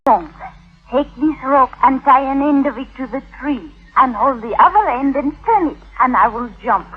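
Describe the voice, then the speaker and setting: A woman's voice: high voice, animated, normal speed, husky. An actress playing a playful, bossy sixteen-year-old French schoolgirl with a French accent in a vintage radio drama. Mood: bossy, impatient. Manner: teasing, bossy, girlish. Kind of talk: 1950s radio drama acting. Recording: tinny vintage radio broadcast.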